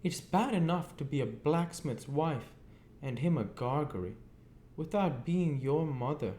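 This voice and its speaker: A man's voice: a little high, expressive, measured pace, mellow, pitched above the performer's own voice. A man voicing a furious, nagging working-class woman scolding her family in a storybook reading. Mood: scolding, aggrieved. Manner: exclamatory, nagging. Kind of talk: audiobook character reading. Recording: roomy echo, faint background noise.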